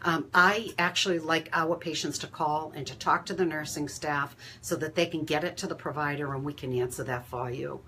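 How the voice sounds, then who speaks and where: A woman hosting a healthcare talk, reading aloud and chatting casually with steady noise behind her. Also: sits low, moderate pace, hardly any pauses. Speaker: host and healthcare provider. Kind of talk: reading a rhyming story aloud, then casual live chat.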